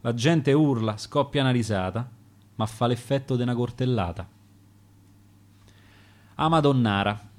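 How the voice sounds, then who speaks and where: A man with a Roman accent reciting his own rhymed sonnets on radio, in a measured, rhythmic verse delivery. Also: mid-range voice, a little mellow. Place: radio studio.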